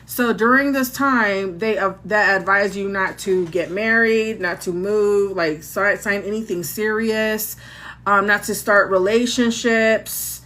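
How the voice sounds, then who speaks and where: A woman's voice: medium pitch, slow, fairly bright tone. A woman with a country accent hosting a casual tarot livestream, chatting with her viewers. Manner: chatty, talks to her chat. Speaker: tarot reader livestream host. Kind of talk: casual live chat.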